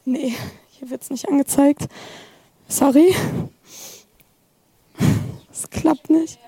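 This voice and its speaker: A hoarse woman presenting a live software demo, hesitant with many fillers. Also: sits high, steady tone, extremely slow, no echo. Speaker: student presenter.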